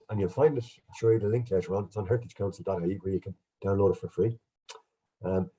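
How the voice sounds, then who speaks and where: Bunged-up Irish man, dark husky voice. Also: fairly low pitch, low-quality recording. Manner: relaxed, chatty, self-deprecating. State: bunged up with a cold. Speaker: guest speaker, archaeologist. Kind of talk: online lecture with slides. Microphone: video call.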